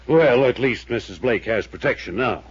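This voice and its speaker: A husky, placating town mayor. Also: male voice, mid-range voice, animated, normal speed. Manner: formal, placating, officious. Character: smooth, evasive male small-town mayor. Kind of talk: 1950s radio drama acting. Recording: dull old radio transcription.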